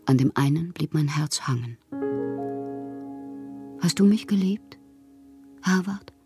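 An actress playing a proud, lovelorn woman poet in a literary radio drama monologue, theatrical and intimate with a husky, breathy voice. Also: sits low, expressive, slow pace, music in the background. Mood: pleading, melancholy. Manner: theatrical, poetic, intimate, at times whispering. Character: wounded, proud, lovelorn woman poet styling herself a prince.

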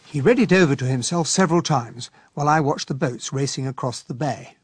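An actor playing a genial, earnest English gentleman, a detective's loyal sidekick, mild and polite with an English accent in a radio drama. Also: medium pitch, brisk, husky, close to an everyday voice. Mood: reflective narrating. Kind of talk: radio drama narration and dialogue.

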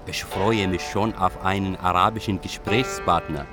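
A man playing a reflective train traveller and writer, narrating in a measured, literary radio drama manner. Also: low voice, steady tone, over music, close to an everyday voice. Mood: observant.

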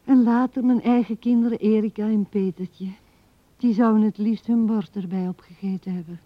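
An actress playing an old widow who muses tenderly and haltingly to her late husband in a radio drama, often dropping to a hush. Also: mid-range voice, steady tone, dry recording, lightly acted. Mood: reminiscing. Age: older. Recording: dull 1970s radio recording.

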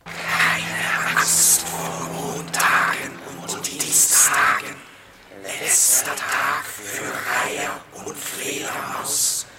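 An actor in a German radio play voicing an uncanny being that chants urgent slogans and warnings, insistent and declamatory. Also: male voice, medium pitch, drawn-out words, pauses often, heavily acted. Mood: urgent, chanting. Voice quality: raspy, sharp, forceful.

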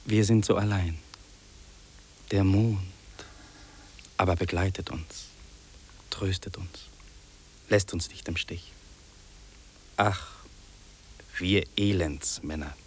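An actor playing a lonely, fearful city man in a 1950s German radio drama, speaking in solemn, deliberate, incantatory phrases. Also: deep voice, fairly even intonation, darkish tone, breathy edge, full character voice. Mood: melancholy, brooding. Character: lonely, fearful, brooding city man listing what he hears. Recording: old radio play on cassette tape.

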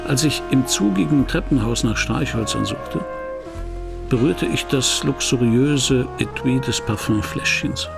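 A gravelly, dry detective narrator. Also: male voice, mid-range voice, expressive, measured pace. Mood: dry, reflective. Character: male hardboiled private detective narrating his own story, dry. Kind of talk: first-person scripted radio drama narration. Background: music.

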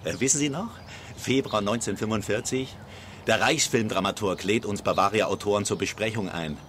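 Low-voiced brash screenwriter, played by a man, noisy background. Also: brisk, fairly bright tone, lightly acted. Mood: brisk, sardonic. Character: brash, cynical screenwriter in postwar Germany. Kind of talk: German radio drama acting with narrated reports.

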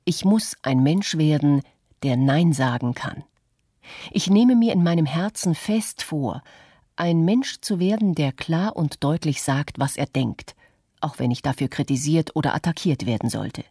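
A woman reading the part of an earnest, resolute citizen in a scripted radio documentary, with a husky voice. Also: low-pitched, expressive, unhurried, dark tone, no echo, studio quality, heavily acted. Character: earnest, resolute citizen critical of nuclear power. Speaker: reader of a witness statement.

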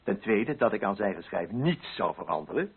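An actor playing a pompous, prudish middle-aged coffee broker with a Netherlands Dutch accent in a radio play monologue. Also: male voice, on the low side, sing-song, normal speed, exaggerated voice. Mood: scheming, smug. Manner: pompous, fussy, self-righteous. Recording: husky, narrow-band old radio recording.

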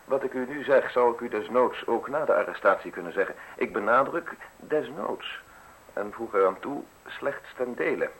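An actor in a radio play playing a polite, insinuating state official with a formal, measured way of speaking. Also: male voice, mid-range voice, lively intonation, fairly bright tone, husky. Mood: courteous, insinuating. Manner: formal, measured, courteous, deliberate phrasing. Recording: hissy, noisy old radio recording.

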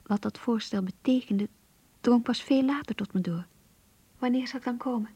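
A yearning young woman confiding her diary in a radio play. Her voice is fairly high and very breathy, almost whispered, in a close, dry sound. She sounds earnest, intimate and a little anxious. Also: normal speed, warm tone, no echo. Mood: hushed, reflective. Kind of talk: radio drama diary narration.

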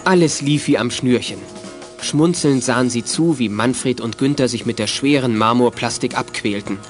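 A man's voice: mid-range voice, moderate pace, over music. A man narrating a children's radio drama in scripted storytelling style.

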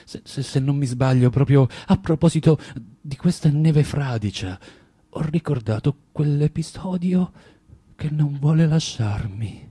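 A man's voice: on the high side, a little slow, warm tone, husky. An actor playing a bitter, self-tormented man in a literary radio drama, hesitant and brooding, swinging between musing and outburst. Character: bitter, self-tormented man confessing, needling a young woman. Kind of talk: dramatic monologue and dialogue, literary radio theatre reading. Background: music.

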